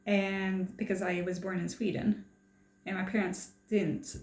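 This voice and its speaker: A woman's voice: mid-range voice, little pitch movement, unhurried, darkish tone, reverberant. A young woman from France chatting casually in a personal vlog, rambling and hesitant with many fillers and restarts. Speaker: vlogger.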